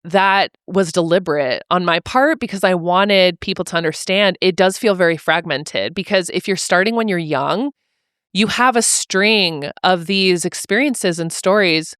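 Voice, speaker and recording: A woman's voice: fairly low pitch, moderate pace, fairly bright tone, clear, resonant tone, no echo, studio quality. A young adult woman guest on a podcast, talking casually and thoughtfully, with many fillers and restarts. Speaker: author guest. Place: mobile podcast studio.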